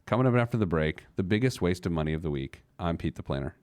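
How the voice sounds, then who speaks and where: A man's voice: sits low, lively intonation, fast talker. An American man hosting a personal finance show in a conversational, emphatic way, acting out objections and answering them.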